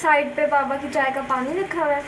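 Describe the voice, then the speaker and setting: A young woman, the vlogger's daughter, calmly explaining cooking steps in a home kitchen. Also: high-pitched, a little fast. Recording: noisy home video.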